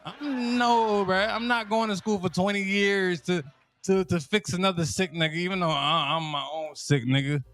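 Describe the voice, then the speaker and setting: A man's voice: high-pitched, fairly even intonation, normal speed, a bit bright, faint music behind. A young man from Miami with a Miami accent, telling comic stories in a loose, slangy way, repeating himself and laughing at his own lines. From Miami, Florida. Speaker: comedian. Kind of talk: casual stand-up style storytelling.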